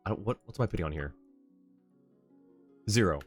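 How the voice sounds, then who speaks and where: Deep-voiced man livestreaming a game. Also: expressive, normal speed. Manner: muttering, thinking aloud in short bursts. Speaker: game live streamer. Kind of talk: casual livestream gameplay commentary, reacting and talking to chat. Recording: game music behind the voice. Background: video game music.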